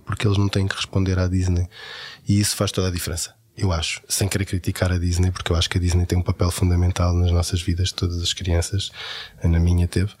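Low-pitched Portuguese man, dry recording. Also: a little mellow, no room sound. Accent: European Portuguese. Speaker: voice actor being interviewed. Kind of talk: casual interview talk.

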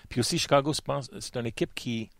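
A man, debating hockey trades on a radio show, halting and repeating words as he thinks. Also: mid-range voice, creaky, no room sound. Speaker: hockey panelist. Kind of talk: casual sports debate.

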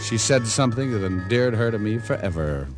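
Guy acting a cynical tough radio noir detective, deadpan wisecracks, old recording w music under it. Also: fairly low pitch, fairly quick, lightly acted. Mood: tense narrating. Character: tough wisecracking male private detective, cynical, hard-boiled. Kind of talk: 1940s radio acting, first-person noir narration.

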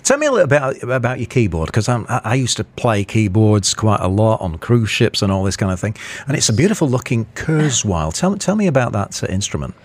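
Lively low-voiced man hosting radio. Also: a little fast, hardly any pauses. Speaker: radio show host. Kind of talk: casual interview chat.

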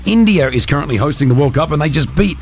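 A man hosting a morning radio show, energetic and upbeat as he runs a cash quiz. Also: fairly high pitch, fast, crowd noise. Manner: energetic, hypes up prizes. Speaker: radio host. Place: radio studio. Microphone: studio mic.

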